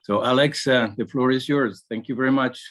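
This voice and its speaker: Webinar moderator, mid-pitched man on a video call, brief friendly replies. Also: fairly even intonation, a little fast, a bit bright. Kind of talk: casual.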